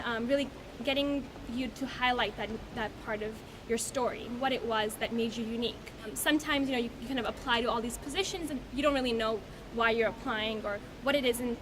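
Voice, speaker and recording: A woman from Pakistan speaks to a reception crowd in a friendly, chatty way. Her voice is fairly high. The recording is noisy, with steady background hiss. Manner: friendly, chatty, with many fillers. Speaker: law student speaker. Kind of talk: speech at a reception. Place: reception event.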